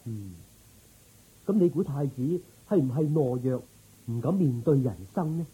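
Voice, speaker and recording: An actor playing a dutiful, inquisitive military officer in a radio drama, with a musing way of talking. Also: male voice, mid-range voice, expressive, unhurried, mellow, slightly rough, rough recording. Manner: musing, questioning.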